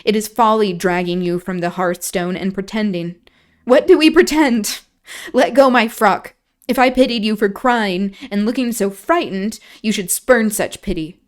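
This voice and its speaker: A woman's voice: medium pitch, normal speed, natural voice. A woman reading aloud, voicing a passionate, scornful young woman who scolds. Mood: upset. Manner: scolding, impassioned.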